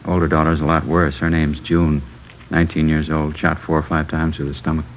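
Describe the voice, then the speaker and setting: An actor playing a dutiful American police officer in a 1950s radio crime drama, flat and factual. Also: male voice, extremely low pitch, a little fast. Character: matter-of-fact male police officer reporting dutifully. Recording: muffled noisy old radio recording.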